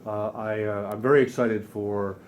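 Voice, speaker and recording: A man's voice: on the low side, little pitch movement, normal speed, darkish tone, slight background noise. A man interviewing at an audio trade show in a casual, effusive and appreciative way. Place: indoor trade show demo room. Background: music.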